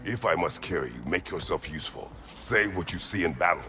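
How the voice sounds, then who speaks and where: A man playing a stern, weary warrior god in a video game, speaking tersely in a gruff, gravelly voice. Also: lively intonation, a little fast, noisy background. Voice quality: deep, gravelly.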